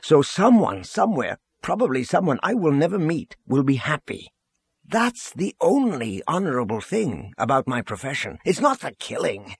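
A man's voice: fairly high pitch, very animated, normal speed, creaky, slightly rough, dry recording, voice pushed up, heavily acted. A man voicing a stern, earnest general in an audiobook, blunt and pressing in his indignation. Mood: stern, pleading.

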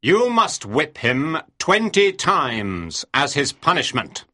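A woman voicing a stern, angry male sea captain in an audiobook, husky and imperious as he barks orders. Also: low voice, very animated, takes it slowly, a bit bright, voice pushed down, heavily acted. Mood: stern, commanding. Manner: barking, imperious. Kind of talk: audiobook character dialogue.

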